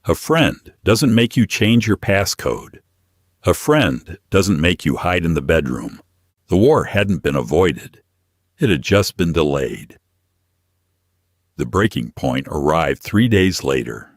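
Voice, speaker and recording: Male audiobook narrator, very low voice, expressive melody, dramatic scripted read, dry studio-like sound. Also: takes it slowly, no room sound.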